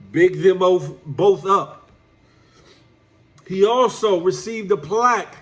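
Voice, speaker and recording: Nasal, congested man lecturing slowly. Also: high voice, fairly bright tone. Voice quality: nasal, stuffed up. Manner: drawn-out, preachy, addresses the subject as "my brother". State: congested from allergies. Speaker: YouTube commentator. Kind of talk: direct-address commentary vlog.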